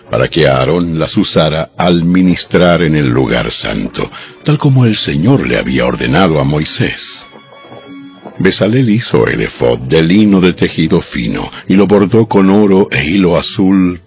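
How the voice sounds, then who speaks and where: A man narrating scripture from a script in a measured, solemn, deliberate manner. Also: sits low, gravelly. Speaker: audio Bible narrator. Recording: dull lo-fi audio with background music. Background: background music.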